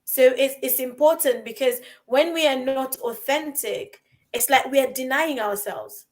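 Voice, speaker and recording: A woman with a high voice, speaking in a casual podcast conversation. She is emphatic, circling back and repeating short phrases to press her point, with many restarts along the way. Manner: frequent restarts and fillers.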